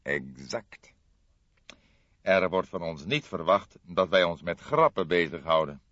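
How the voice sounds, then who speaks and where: A seasoned older male detective, played by a man narrating an audiobook, deliberate and wry. He speaks thoughtfully with a teasing edge, close and dry in a slightly muffled recording. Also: sits low, expressive, fairly bright tone, no echo, pitched below the performer's own voice, heavily acted. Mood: dry approval. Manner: thoughtful, measured, dryly teasing. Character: grey-haired veteran male detective, deliberate, wryly jovial. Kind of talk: audiobook character acting.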